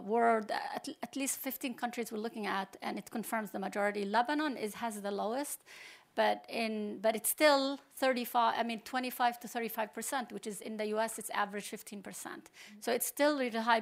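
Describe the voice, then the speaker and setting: A woman's voice: on the high side, vocal fry. A middle-aged woman from Palestine, a computer science professor, speaking as a panel guest in a hesitant, unscripted way with many restarts.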